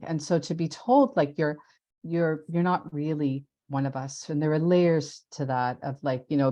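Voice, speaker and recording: Low mellow-voiced woman leading a workshop. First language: Italian dialect. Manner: thoughtful, pausing with fillers. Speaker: workshop facilitator. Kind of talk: casual group talk on a video call.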